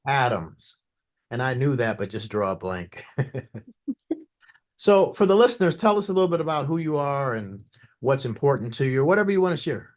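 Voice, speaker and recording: A man hosts a conversation podcast in a relaxed interviewing manner. He reflects aloud between his questions and restarts his words now and then. His voice sits at a middling pitch. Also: moderate pace, pauses often. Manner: warm, reflective, with false starts. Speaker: podcast host. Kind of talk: casual interview.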